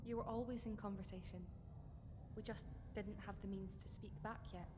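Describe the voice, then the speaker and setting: A woman's voice: medium pitch, fairly even intonation, moderate pace, noisy background, lightly acted. Steadfast actress playing a woman rebel, reverberant. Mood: gentle, reassuring. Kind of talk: fiction podcast audio drama acting.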